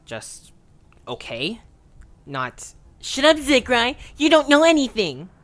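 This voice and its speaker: Bossy young male leader, animated delivery, man acting. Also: very high-pitched, takes it slowly, heavily acted. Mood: firm. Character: bossy, blunt young male Zoombini leader, proud and impatient. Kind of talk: audio drama character acting.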